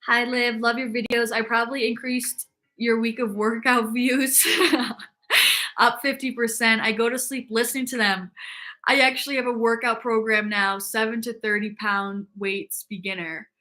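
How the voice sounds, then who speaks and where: A woman's voice: on the high side, level tone, moderate pace, pushed and bright. A young woman hosting a casual fitness livestream, chatting upbeat and reading viewer comments aloud, with lots of "like" fillers.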